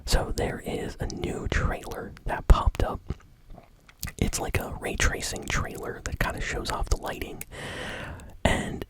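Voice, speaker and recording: A person whispering casual, conversational gaming news in a soft, breathy ASMR style. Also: measured pace.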